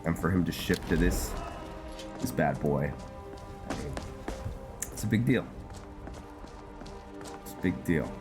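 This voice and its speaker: Male gameplay host, very low voice, casual and halting delivery, music clearly behind him. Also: lively intonation. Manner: halting, thinking aloud with pauses mid-sentence. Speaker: gameplay host narrating a roleplay series. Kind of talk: casual, rambling gameplay commentary.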